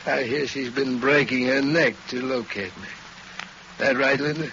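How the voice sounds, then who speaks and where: A man's voice: medium pitch, moderate pace, lightly acted. An actor playing a smug, cruel gangster boss with taunting tough-guy slang in an old-time radio crime drama. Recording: noisy, muffled vintage radio recording.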